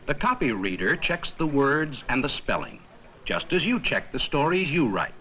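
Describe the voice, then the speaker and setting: A man reading scripted 1950s educational film narration in a measured, explanatory manner with simple, plain sentences. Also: mid-range voice, sing-song, dull audio. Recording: worn, noisy 1950s 16mm film soundtrack.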